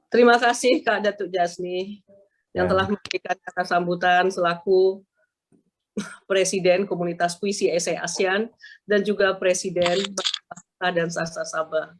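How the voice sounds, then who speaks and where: A woman's voice: lowish voice, fairly even intonation, normal speed, slight background noise. A woman with a stuffy nose from a bad flu, moderating an event and introducing speakers formally. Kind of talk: formal emcee announcements.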